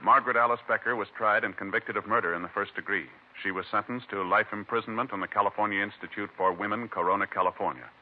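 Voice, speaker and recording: Casting: male announcer, lowish, formal old-time radio delivery, narrow tinny band, loud music. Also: fairly even intonation, quick pace, few pauses. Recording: tinny vintage radio broadcast, music behind.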